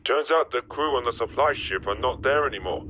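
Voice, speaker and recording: A man's voice: mid-range voice, brisk, heavy background noise. A man playing a nervous soldier reporting over a radio in a fan audio drama. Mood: uneasy. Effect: radio filter. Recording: muffled radio signal.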